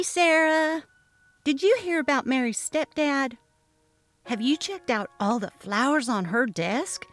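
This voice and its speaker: Make her a nosy, opinionated office coworker voiced by a woman narrator, pitched up high. She confides with animated sarcasm and open exasperation in a dramatic story reading. Music sits behind the voice. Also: heavily acted. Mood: gossipy, sarcastic.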